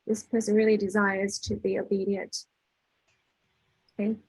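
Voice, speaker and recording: A woman's voice: mid-range voice, little pitch movement, normal speed, a little mellow, husky, no echo, poor audio. A woman giving a casual inspirational talk, conversational, telling anecdotes with acted-out dialogue and checking in with her listeners. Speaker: speaker leading a spiritual talk.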